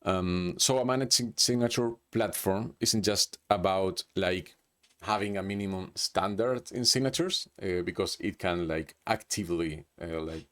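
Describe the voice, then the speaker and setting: A man's voice: low voice, unhurried, fairly bright tone. Hesitant man with a Chilean accent. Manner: hesitant, with many restarts and "like". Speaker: presenter. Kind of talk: unscripted business presentation.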